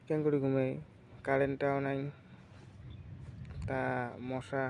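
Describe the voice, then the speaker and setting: A man's voice: medium pitch, monotone, slow, vocal fry, a little mellow, slight background noise. A man voicing a coy, clingy young village girlfriend in a comic phone sketch, cooing and complaining in northern Bengali dialect.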